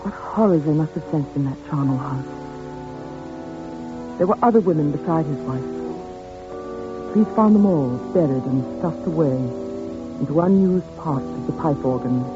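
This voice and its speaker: A terrified governess in a vintage radio play, her voice breathless and pleading. It sits on a dull old recording with loud organ music behind her. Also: low-pitched, big pitch swings, normal speed, pauses often. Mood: haunted, narrating. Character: frightened female governess, later a haunted narrator. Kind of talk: 1940s radio acting.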